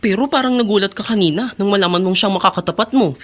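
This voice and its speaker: A woman's voice: medium pitch, fast talker, close to an everyday voice. A woman playing a teasing, gossipy teenage schoolboy in a radio drama. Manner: teasing, insistent. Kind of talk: Tagalog radio drama acting.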